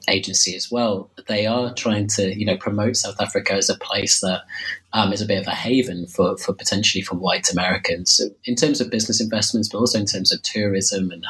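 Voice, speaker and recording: A deep man with a narrow, level pitch and a bright, forceful edge to his tone. He talks through his research in an interview, pausing often with fillers and restarting sentences. A slight cold wears at his voice. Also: steady tone. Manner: measured, many fillers and restarts. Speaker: author interviewed about his book. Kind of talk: academic interview, thoughtful explanation.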